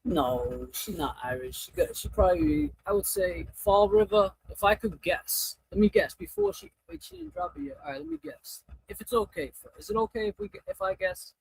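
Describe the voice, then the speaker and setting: A man hosting a casual livestream, talking in a rambling, halting way and often breaking off mid-thought. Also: sits high, huge pitch swings, vocal fry, husky, dry recording, poor audio. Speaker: livestream host.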